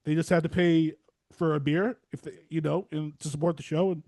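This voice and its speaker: A man with a fairly high voice hosts a casual podcast interview. He rambles conversationally, doubling back on his phrases, in a dry recording with little room sound. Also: fairly even intonation, brisk, darkish tone, no echo. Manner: rambling, repeats and restarts phrases. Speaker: podcast host and theater reviewer.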